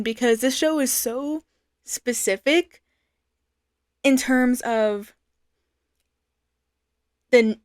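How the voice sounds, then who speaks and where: Young adult woman, very clear smooth voice, medium pitch, dry recording, earnest, reflective, informal testimonial. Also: dry recording. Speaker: fan artist and creator.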